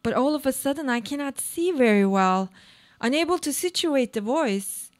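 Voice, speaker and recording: A cynical, uneasy wife in a radio play, acted by a woman. Her voice sits fairly high, and she delivers brooding monologues in a deliberate, theatrical, poetic manner. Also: lively intonation. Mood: searching, frustrated.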